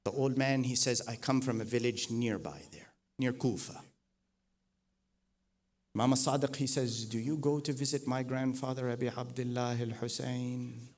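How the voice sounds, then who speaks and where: Middle-aged male preacher, recounts a tradition, builds from hushed to forceful. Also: mid-range voice, fairly even intonation, moderate pace. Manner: swells from soft to loud, rising emphatic repetition. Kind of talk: mourning sermon with narrated tradition.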